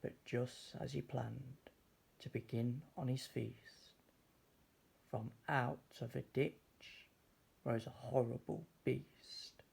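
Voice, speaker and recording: A man reading a children's story aloud as storyteller, deliberately and with dramatic pauses. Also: mid-range voice, fairly even intonation, gravelly, a little mellow, slightly rough.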